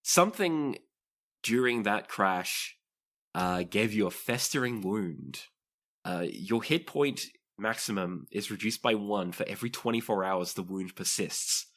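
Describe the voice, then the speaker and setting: Deep-voiced man running a tabletop game. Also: lively intonation, unhurried, a bit bright. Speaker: game master. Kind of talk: narrating a tabletop roleplaying game.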